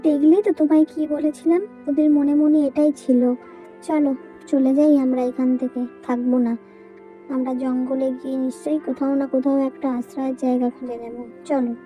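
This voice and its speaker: Woman voicing a young village wife in a cartoon folk tale, really high voice, practical, nags a bit, music behind. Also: flat delivery, brisk, mellow, lightly acted. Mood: resolute, chiding. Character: young village bride, practical, wary of her in-laws. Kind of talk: animated moral story voice acting.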